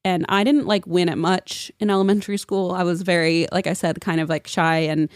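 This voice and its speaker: A woman's voice: lowish voice, steady tone, fairly quick, smooth, no room sound, studio quality. A woman in her thirties telling comic stories among friends in a dramatic, self-mocking manner. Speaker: podcast guest and storyteller.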